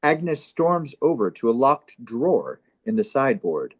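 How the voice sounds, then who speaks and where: A man's voice: mid-range voice, very animated, unhurried. A man reading stage directions as scripted narration at a live comedy table read.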